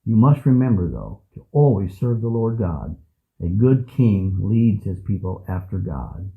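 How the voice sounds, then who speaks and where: An actor playing a kindly, steadfast old priest in a scripted Bible audio drama, speaking in a measured, earnest way. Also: male voice, lowish voice, big pitch swings, vocal fry, phone-quality sound, full character voice. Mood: gentle, instructive. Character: kindly, steadfast old male priest guiding a child king.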